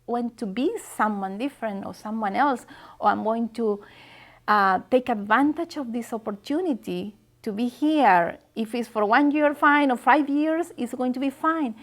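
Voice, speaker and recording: A woman's voice: fairly high pitch, moderate pace. Emphatic, encouraging woman with a raised voice. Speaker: interviewee. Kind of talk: casual interview talk.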